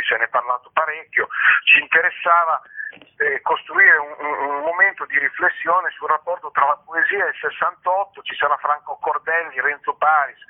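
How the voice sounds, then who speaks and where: A middle-aged man with a fairly high voice gives an interview over a thin, telephone-like connection. He explains as an event director would, haltingly, doubling back on words and correcting himself. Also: creaky. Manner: hesitant, with repeated words and self-corrections. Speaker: festival director being interviewed. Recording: narrow, tinny phone line.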